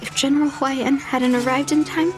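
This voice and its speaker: A woman voicing a stubborn, resolute young swordswoman in a video game, speaking in an earnest, deliberate way. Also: high voice, steady tone, over music, lightly acted. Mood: grave, resolute. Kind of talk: dramatic video game voice acting.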